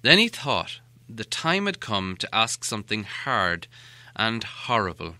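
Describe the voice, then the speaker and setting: A man narrating a storybook as an audiobook reader, with an expressive, dramatic delivery. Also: on the low side, a little slow, no room sound. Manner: expressive, animated.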